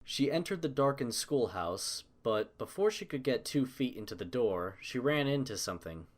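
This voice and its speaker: A man's voice: mid-range voice, very animated, moderate pace. A man narrating a scripted story as its narrator.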